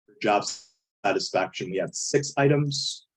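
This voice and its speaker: A man with a hoarse, failing voice who often clears his throat, walking step by step through a statistics software tutorial over a screen recording. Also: on the low side, measured pace, poor audio. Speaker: statistics instructor. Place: indoors at a computer, voice-over on screen capture.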